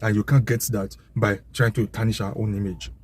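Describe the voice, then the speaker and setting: A man's voice: fairly low pitch, little pitch movement, a little fast, a little mellow. A man with a Nigerian accent giving an impassioned, informal monologue, assertive and emphatic, often repeating his points.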